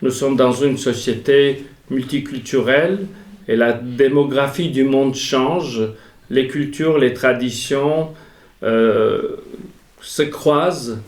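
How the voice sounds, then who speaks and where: A middle-aged man, a priest answering interview questions in a measured, reflective way. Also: medium pitch, darkish tone, echoey room. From Armenia.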